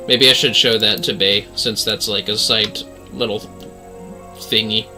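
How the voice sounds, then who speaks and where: A man's voice: fairly low pitch, steady tone, measured pace, pushed and bright, faint music behind. A man giving casual, sweary and jokey livestream commentary on a game, often exclaiming. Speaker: livestream host.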